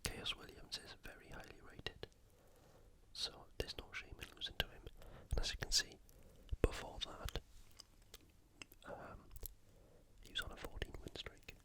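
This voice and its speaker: A speaker from the UK giving ASMR sports commentary in a soft, unvoiced whisper, halting now and then while thinking aloud. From United Kingdom. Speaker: ASMR host previewing fights.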